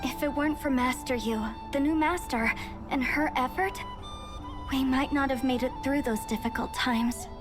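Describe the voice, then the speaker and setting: A woman's voice: high-pitched, steady tone, normal speed, close to an everyday voice. A woman playing an earnest, dutiful young researcher in a video game, formal and polite as she explains things. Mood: grave, earnest. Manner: formal, expository, apologetic. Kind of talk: scripted video game dialogue. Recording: music behind the voice.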